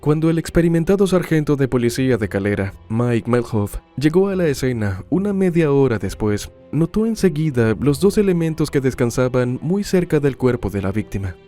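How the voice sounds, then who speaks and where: A man delivering scripted true crime narration in a measured, dramatic storytelling manner. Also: medium pitch, very animated, warm tone, faint music behind.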